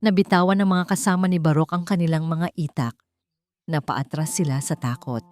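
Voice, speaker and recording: A woman with a Filipino accent narrating a dramatic story in a measured, suspenseful way. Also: sits low, steady tone, darkish tone. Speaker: audiobook narrator. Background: music.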